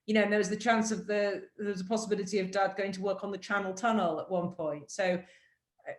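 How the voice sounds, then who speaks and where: A woman's voice: mid-range voice, steady tone, fast, roomy sound. An English woman with a mixed Yorkshire and Cornish accent, chatting as an author on a video call and talking in a reflective, rambling way. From England. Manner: chatty, reflective, with many fillers and restarts. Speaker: author and interview guest. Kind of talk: casual conversation over video call.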